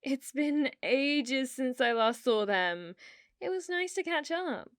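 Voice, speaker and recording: Woman acting as young british gf, asmr roleplay, slow soft talking, coy n teasing, sweetly manipulative, super dry close audio. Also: high-pitched, expressive, no room sound, full character voice. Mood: casual, coy. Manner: coy, soft-spoken, teasing with feigned innocence. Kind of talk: intimate ASMR roleplay, scripted.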